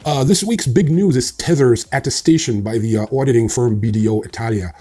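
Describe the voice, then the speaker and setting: A man's voice: mid-range voice, fairly quick, mellow, slightly rough, faint music behind. A man hosting a casual news roundup, reading headlines with frequent fillers and slipping in dry, sarcastic asides. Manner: wry, dry sarcasm, frequent ums and uhs. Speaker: crypto news host.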